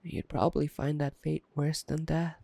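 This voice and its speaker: A man playing a taunting, playful, predatory vampire in an intimate ASMR roleplay, teasing and drawling with soft menace. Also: fairly high pitch, level tone, moderate pace, vocal fry, no echo. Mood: taunting, menacing.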